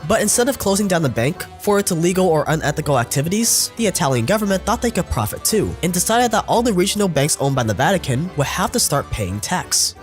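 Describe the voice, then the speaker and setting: A man's voice: high-pitched, big pitch swings, fast talker, hardly any pauses, faint music behind. A man narrating a scripted documentary in a wry, sarcastic way, his voice sounding a little sick.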